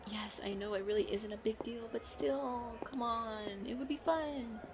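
A woman playing a playful, excitable girlfriend in a chatty roleplay audio, with a bubbly, expressive delivery. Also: a little high, moderate pace, noisy background, close to an everyday voice. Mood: playful pleading. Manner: bubbly, expressive, frequent giggles and exclamations. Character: playful, excitable, pleading girlfriend out costume shopping.

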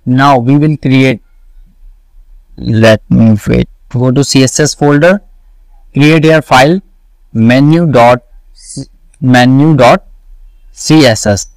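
A man walking through a coding tutorial, slowly repeating each step and answering his own questions. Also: mid-range voice, no room sound, low-quality recording. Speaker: web development tutor. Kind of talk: screen-recorded coding tutorial.

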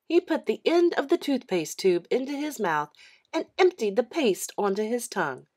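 Animated woman reading a children's story. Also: fairly high pitch, normal speed. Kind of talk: children's audiobook narration.

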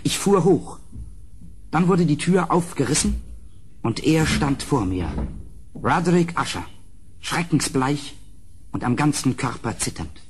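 An actor playing an uneasy gentleman who recounts a gothic horror in an audio drama, in a measured, grave, literary manner. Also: fairly high pitch, lively intonation, a little hiss or hum. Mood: grave, suspenseful. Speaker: narrator and character.

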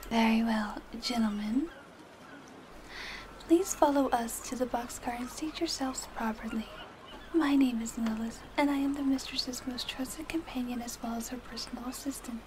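A woman voicing a poised, mischievous female assistant who speaks in a formal, politely teasing whisper, with a clear ambience hiss behind her. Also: on the high side, normal speed, close to an everyday voice. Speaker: personal assistant to the listener. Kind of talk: ASMR audio roleplay.

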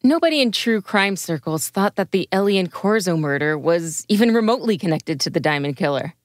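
A woman's voice: on the low side, lively intonation, brisk, close to an everyday voice. Woman acting a wry, harried news reporter. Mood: chatty, professional. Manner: sardonic, casual, sweary. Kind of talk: naturalistic audio drama acting.

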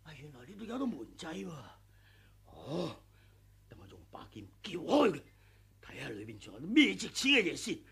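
An actor playing a greedy, ruthless older martial arts master, theatrical and raspy, muttering to himself in a radio drama. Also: male voice, a little high, huge pitch swings, normal speed, a bit bright, exaggerated voice. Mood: eager muttering. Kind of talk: Cantonese radio drama villain acting.

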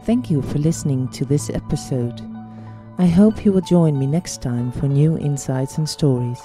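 A woman with a Dutch accent, a coach and podcast host, speaking in a calm, careful, reflective solo monologue. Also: deep voice, moderate pace. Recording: soft music underneath.

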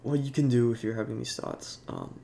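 A young man with a congested voice, talking in a halting, soft-spoken way with many fillers over game footage. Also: mid-range voice, huge pitch swings, creaky, slight background noise. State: congested, sniffling. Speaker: YouTuber. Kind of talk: casual talk over gameplay.

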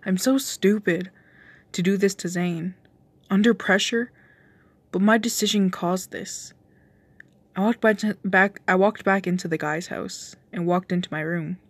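Female narrator for amateur story reading, unhurried pace, dry close sound. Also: medium pitch, no room sound.

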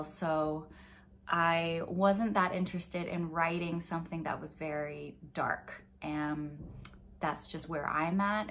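A woman's voice: low voice, fairly bright tone, a little hiss or hum. A woman in her twenties, a playwright talking casually and somewhat hesitantly to camera. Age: 20s. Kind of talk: casual, reflective talk to camera. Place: home office, indoors.

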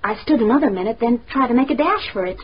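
An actress playing a bold young heiress in a radio mystery, insistent and intense. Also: female voice, fairly high pitch, lively intonation, fast talker, lightly acted. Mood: tense recounting. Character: bold, defiant young heiress caught under suspicion. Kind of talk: dramatic radio acting. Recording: dull, faintly noisy radio recording.

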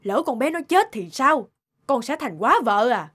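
A woman's voice: a little high, big pitch swings, normal speed, fairly bright tone. An actress voicing an anxious, blunt middle-aged mother who nags and scolds in a cartoon dub. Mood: alarmed. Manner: fretful, nagging, blunt. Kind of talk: cartoon dubbing.

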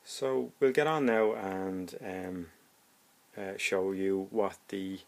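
Low-voiced, halting man. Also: steady tone, vocal fry. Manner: halting, thinking aloud. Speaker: hobbyist presenter. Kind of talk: casual DIY demonstration talk.

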